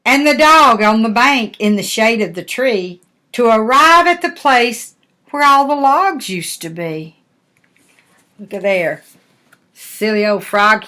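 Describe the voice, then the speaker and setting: Slow-talking older woman reading a picture book. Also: on the high side, lively intonation, pushed and bright. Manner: folksy, asking the listener questions. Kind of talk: storybook read-aloud with chatty asides.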